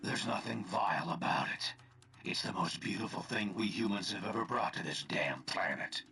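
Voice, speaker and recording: A man's voice: on the low side, moderate pace, vocal fry, bright, forceful tone, faint music behind. A man playing a mind-controlled colonel in a video game, blunt, dismissive and threatening. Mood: cold menace. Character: menacing mind-controlled male colonel, cold and impatient. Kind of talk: video game cutscene acting.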